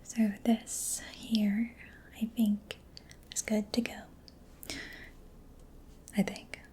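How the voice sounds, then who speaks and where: A woman whispering in a breathy, gentle, unhurried murmur for an ASMR roleplay, close to the microphone. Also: mid-range voice, level tone. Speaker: ASMR creator playing a robot mechanic. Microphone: close-up sensitive microphone. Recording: close-up whisper with clear background noise.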